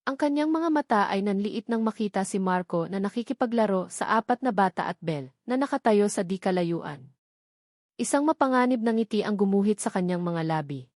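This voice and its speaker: Lady reading a dramatic love story, scripted narration, middle pitch, dry close recording. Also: animated, brisk, darkish tone, no echo.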